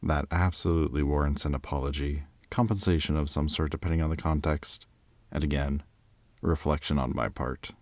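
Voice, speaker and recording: Man acting as very deep-voiced polite diplomat candidate. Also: steady tone, brisk, a little mellow, no room sound. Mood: earnest, contrite. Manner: courteous, thoughtful. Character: polite, reflective, charming male diplomat candidate. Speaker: job candidate. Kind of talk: audio drama acting, conversational interview answers.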